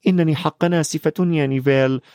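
A man voicing an earnest, clever schoolgirl who speaks with soft, apologetic firmness. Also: on the high side, a little fast, no room sound, studio quality, pitched above the performer's own voice, lightly acted. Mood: firm.

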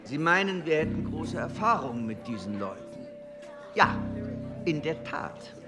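A man's voice: fairly high pitch, sing-song, measured pace, over loud music. A man acting in a German radio drama as a haughty, uneasy foreign embassy secretary with a guarded, formal way of talking. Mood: defensive, indignant. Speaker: actor in a radio play.

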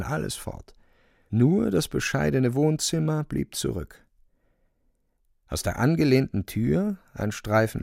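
An actor narrating a dark fantastic radio play as a grave, watchful storyteller. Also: male voice, fairly low pitch, creaky, no echo, studio quality, heavily acted. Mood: ominous wonder.

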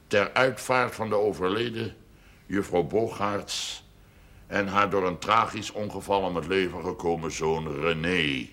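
TV drama role: pompous, scheming farmer, forceful gravelly delivery, wordy and formal. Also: male voice, on the low side, exaggerated voice. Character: shrewd, self-important wealthy farmer making pious bargains. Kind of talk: television drama acting.

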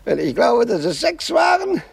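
Gravelly, cantankerous old man. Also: very high-pitched, huge pitch swings, moderate pace, dry recording, heavily acted. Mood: rambling complaint. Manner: grumbling, pedantic, declamatory. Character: cantankerous blind elderly husband, miserly and querulous. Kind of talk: radio drama acting.

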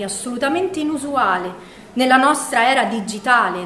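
Imprisoned woman, high-pitched voice, introspective, weary, quietly defiant, measured stage monologue, echoing room. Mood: wistful, estranged. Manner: measured, literary, introspective delivery. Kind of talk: dramatic theatrical monologue.

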